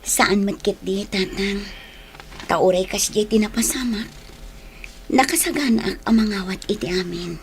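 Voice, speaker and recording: A woman's voice: mid-range voice, slight background noise, natural voice. A woman acting a heartbroken young woman in a radio drama, pleading, with an Ilocano Filipino accent. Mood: resigned. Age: young adult. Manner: pleading, drawn-out delivery. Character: heartbroken young woman, dumped by her boyfriend, tearful.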